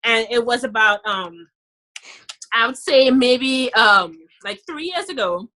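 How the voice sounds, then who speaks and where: A woman's voice: fairly high pitch, lively intonation, slow pace, pushed and bright, low-quality recording. A woman with a Trinidadian accent, a podcast guest talking in a casual, emphatic way, often adding "you know". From Trinidad and Tobago. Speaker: podcast guest, dentist and author. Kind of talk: casual interview chat.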